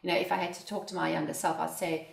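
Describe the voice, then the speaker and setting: A South African woman, an entrepreneur and interview guest, telling her story in an expressive conversational way and laughing often. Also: fairly low pitch, quick pace, creaky, echoey room. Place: indoors at a home.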